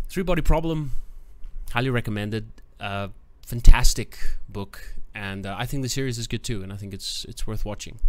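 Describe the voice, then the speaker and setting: A man giving a casual book review to camera, thoughtful and searching for words, growing enthusiastic. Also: medium pitch.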